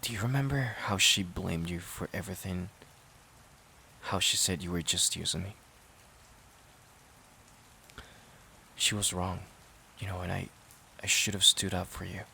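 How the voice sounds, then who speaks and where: A man playing a resentful, overworked boyfriend in an emotional audio roleplay, halting and sighing as he repeats himself. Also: low voice, fairly even intonation, vocal fry, pushed and bright, a little hiss or hum, heavily acted. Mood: bitter, then hurt, regretful. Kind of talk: emotional audio roleplay, intimate dramatic monologue.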